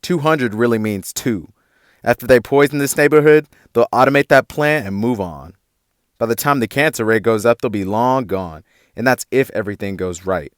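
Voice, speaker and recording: A man's voice: medium pitch, normal speed, clean tone, no room sound, studio quality, close to an everyday voice. A man playing a defiant eighteen-year-old activist in a radio drama, sarcastic and argumentative. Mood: defiant, accusing.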